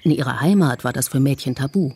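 A woman narrating a radio documentary in a calm, measured first-person voice. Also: a bass voice, noisy background. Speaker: feature author and narrator.

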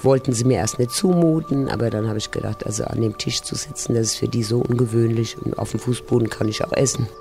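Man, volunteer teacher, gravelly and mellow, relaxed storytelling, music faintly under him. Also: mid-range voice, quick pace, hardly any pauses. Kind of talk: interview recollection.

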